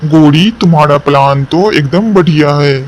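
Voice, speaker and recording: A man's voice: a little high, moderate pace, slight background noise, close to an everyday voice. A man voicing a helpful, eager pigeon with an earnest, urgent manner in a children's cartoon.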